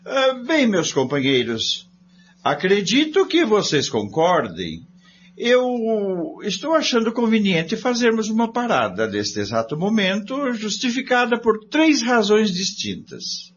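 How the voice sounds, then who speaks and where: A man's voice: high voice, wildly swooping pitch, unhurried, exaggerated voice. Actor as a swooping, jovial Brazilian researcher man. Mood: jovial, persuasive. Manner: theatrical, wordy, formal phrasing. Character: Guilherme, jovial male member of a research group. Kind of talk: scripted radio drama acting. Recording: dull lo-fi audio with faint noise.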